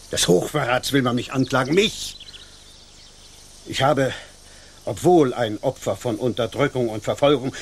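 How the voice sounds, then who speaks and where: An actor playing a proud, dignified gentleman landowner in a German radio drama, speaking in an affronted, indignant manner. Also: mid-range voice, slight background noise.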